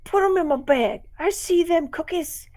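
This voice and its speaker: A woman's voice: extremely high pitch, moderate pace, dark tone, no room sound, clean studio sound, pitched above the performer's own voice. A woman playing a pushy, greedy trick-or-treater. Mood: demanding. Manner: demanding, bossy.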